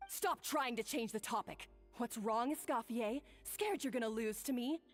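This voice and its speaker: A woman voicing a proud, combative young head chef in video game dialogue, sharp, taunting and defiant. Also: on the high side, normal speed, big-room sound, faint music behind, close to an everyday voice. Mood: accusing, taunting.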